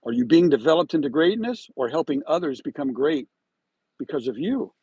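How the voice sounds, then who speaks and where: A man hosting a live stream from inside his parked car, giving a preachy, emphatic motivational talk with drawn-out pauses. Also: a little high, big pitch swings, mellow, breathy edge, dry recording, muffled recording. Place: parked car with window open on a drizzly day.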